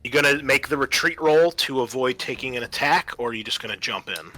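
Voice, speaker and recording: A man acts as game master in a tabletop roleplaying session, with a bright, forward voice. He narrates and explains rules conversationally, feeling out rulings as he goes, while music plays faintly behind him. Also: medium pitch, a little fast, rough recording.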